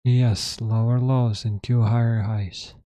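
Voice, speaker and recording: Male trading streamer, hoarse throat, dark mellow tone, dry room, muttered live commentary. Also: mid-range voice, little pitch movement, no echo. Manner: thinking aloud, halting, self-correcting. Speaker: trading livestream host.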